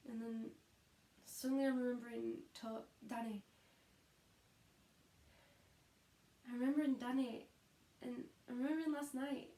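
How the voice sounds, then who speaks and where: Creaky-voiced, disillusioned female teacher, acted by a woman. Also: fairly high pitch, flat delivery, brisk, mellow, close to an everyday voice. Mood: reflective, resigned. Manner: introspective, confiding, swearing. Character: Charlotte, disillusioned, weary young female schoolteacher. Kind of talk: dramatic stage monologue. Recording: echoey room.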